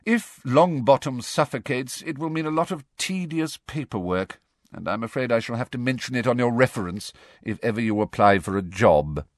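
A man voicing a cold, contemptuous schoolmaster in a story, speaking in a deliberate, drily sarcastic way. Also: medium pitch, animated, no echo, studio quality, lightly acted. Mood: cold sarcasm. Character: cold, sardonic adult male schoolmaster, contemptuous.

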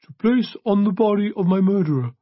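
A man voicing a solemn male priest in an audiobook, speaking in a gentle, measured and stately manner. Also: high-pitched, animated, no room sound, lo-fi sound, pitched above the performer's own voice. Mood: solemn, remorseless. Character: solemn, remorseless male priest reading a will aloud. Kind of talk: audiobook character voice.

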